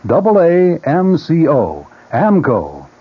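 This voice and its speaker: A man's voice: fairly high pitch, wildly swooping pitch, takes it slowly, breathy edge. A man working as a commercial announcer, reading a scripted radio ad in 1970s style. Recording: muffled old radio broadcast. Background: music.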